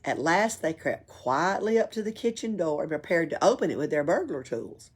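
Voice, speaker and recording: A woman's voice: sits low, sing-song, moderate pace, slightly rough. A woman reading a children's book aloud expressively, as at a library storytime.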